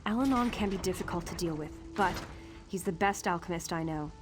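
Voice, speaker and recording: An actress playing a steady, practical female war leader in a fantasy video game, speaking in a measured, serious way. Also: fairly low pitch, natural voice. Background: clear music.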